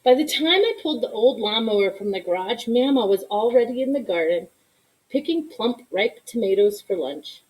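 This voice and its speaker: A woman reading a children's story aloud in an expressive style, with deliberate, dramatic emphasis. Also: fairly high pitch, rough recording. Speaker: storybook reader.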